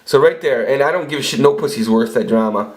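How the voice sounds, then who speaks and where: A man's voice: medium pitch, quick pace. A man in his forties telling crude, rambling stories in a casual monologue, swearing often and restarting his sentences. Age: 40s. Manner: crude, profane, rambling storytelling with frequent restarts. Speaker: vlogger.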